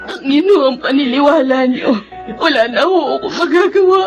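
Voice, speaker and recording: A woman's voice: sits high, expressive, normal speed, soft background music. A woman playing a humble, sorrowful young Filipino sweetheart, crying and pleading politely in a radio melodrama. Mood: tearful pleading.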